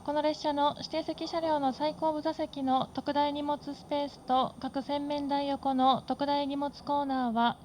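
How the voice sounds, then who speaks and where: A woman's voice: high voice, darkish tone. A woman reading a polite, formal scripted announcement to train passengers. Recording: faint train cabin background noise. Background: train ambience.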